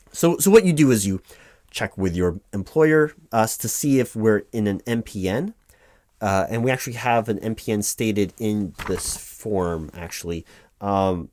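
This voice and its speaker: Low-voiced man, halting office ASMR roleplay. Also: a little mellow, no echo. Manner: halting, stammering, repeats himself reassuringly. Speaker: claims administrator in a one-sided roleplay. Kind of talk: ASMR office roleplay, reading forms aloud and explaining.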